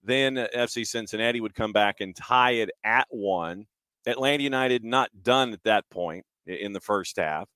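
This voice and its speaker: A man's voice: lowish voice, normal speed, bright, forceful tone, smooth, no echo. A man hosting a sports show, chatting casually and introducing match clips in a self-deprecating way. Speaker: sports talk show host.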